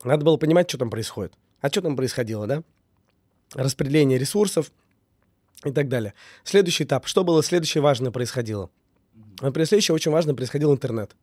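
A man's voice: medium pitch, fast talker, a little mellow, breathy edge, dry recording, studio quality. A man getting over a cold, a business owner talking earnestly in a casual conversation and repeating words for emphasis. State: recovering from a cold.

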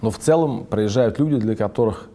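A male interviewer with a low, warm voice puts questions to his guest. He hesitates often and restarts his phrases as he hunts for the right wording. His delivery stays calm and conversational. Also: fairly quick. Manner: hesitant, searching for words.

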